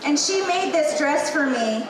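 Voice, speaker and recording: A woman emcee introduces the next designer from a stage. Her voice is high and lifted. It is picked up from far off in a very echoey hall over a loud crowd. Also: expressive, measured pace. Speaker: event emcee. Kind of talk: stage announcement.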